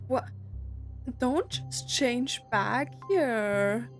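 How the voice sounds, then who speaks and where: A woman's voice: fairly high pitch, lively intonation, drawn-out words. A woman streamer casually reacting to a game, emotional and hesitant as she speaks. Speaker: gaming streamer. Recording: loud game music behind the voice.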